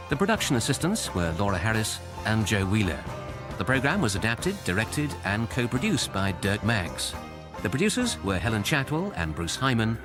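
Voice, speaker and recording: A man with a British accent reading scripted radio credits as an announcer. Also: mid-range voice. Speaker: continuity announcer. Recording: clear music under the voice.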